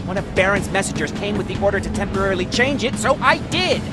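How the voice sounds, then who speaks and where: A man's voice: sits high, lively intonation, fast. A man playing a nervous, chatty wartime companion in a video game, jittery and wry. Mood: flustered. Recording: loud music and machinery behind.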